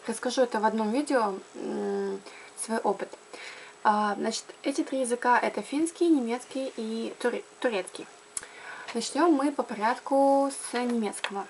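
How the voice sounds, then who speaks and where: A woman's voice: on the high side, normal speed, creaky, darkish tone, a little hiss or hum, poor audio. A young woman from Karelia chatting casually to camera about learning languages, with frequent fillers and self-corrections. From Karelia, Russia. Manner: chatty, lots of fillers and restarts. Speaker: language blogger.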